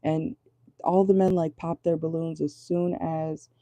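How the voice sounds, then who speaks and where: Low-voiced, gravelly woman reading tarot. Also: level tone, a little slow, warm tone, dry recording. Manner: chatty, rambling, keeps checking in with "okay?". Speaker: tarot reader. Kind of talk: casual tarot reading to viewers. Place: at home indoors at night.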